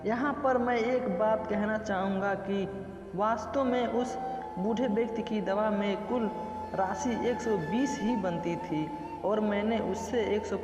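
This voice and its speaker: High-pitched, slow male storyteller. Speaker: story narrator. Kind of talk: scripted first-person moral story narration. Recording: muffled, echoey reverb with loud background music. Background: music.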